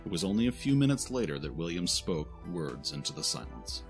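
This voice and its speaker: A man narrating a scripted fantasy audio drama in a solemn, deliberate way. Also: deep voice, big pitch swings, gravelly. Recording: faint music behind the voice.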